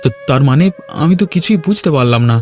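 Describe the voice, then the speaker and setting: A man's voice: on the high side, animated, fast, darkish tone, close to an everyday voice. A man voicing a bashful, easily flustered young village son in a cartoon, with a hesitant, stammering delivery. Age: young adult. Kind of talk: cartoon voice acting in a family drama. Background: background music.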